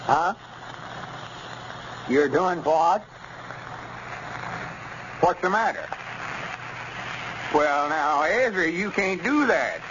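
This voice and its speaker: An actor playing a stubborn old country storekeeper with a rural Southern US accent, folksy and drawling, in a 1930s radio comedy. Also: male voice, fairly high pitch, expressive, very slow, long pauses. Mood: urgent. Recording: muffled, noisy old radio recording.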